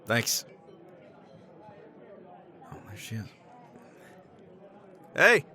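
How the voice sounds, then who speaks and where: A man's voice: fairly low pitch, big pitch swings, very slow, pushed and bright, a faint crowd, full character voice. A man playing a tipsy middle-aged professor in a romance roleplay, rambling with chuckles and casual swearing. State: tipsy from scotch. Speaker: roleplay character.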